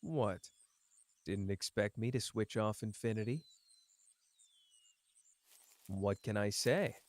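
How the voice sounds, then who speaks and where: A man's voice: on the low side, slow pace, no room sound. A man playing a cocky, playful young sorcerer who teases and cares, speaking smugly and intimately to the listener in an ASMR roleplay. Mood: teasing, cocky. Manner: teasing, smug, drawling, intimate. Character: cocky, playful young male sorcerer, teasing but caring.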